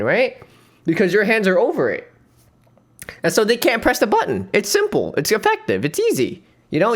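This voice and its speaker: A man streaming, giving rambling, playful reaction commentary on a dating show and laughing often. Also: high voice.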